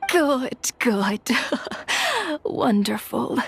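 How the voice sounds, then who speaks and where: A woman's voice: high voice, wildly swooping pitch, very slow, vocal fry, exaggerated voice. A woman voicing a frail, forgetful old grandmother in a game cutscene, doting and hesitant, with music behind her. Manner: hesitant, stammering, fond and fussing. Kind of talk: video game cutscene acting.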